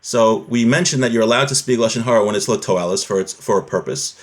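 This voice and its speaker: A man's voice: fairly low pitch, fast. Man teaching religious law, conversational. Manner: switches between Hebrew quotations and plain explanation. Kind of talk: informal religious lesson, reading and explaining a text.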